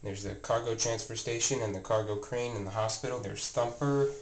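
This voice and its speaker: A man's voice: lowish voice, a little fast. A man with a stuffy, scratchy voice giving a rambling, casual tour of his train room, full of fillers and restarts. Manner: rambling, many fillers and self-corrections. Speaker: toy train vlogger. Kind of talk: casual show-and-tell narration. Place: home train room, indoors. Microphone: handheld camera mic, filming close.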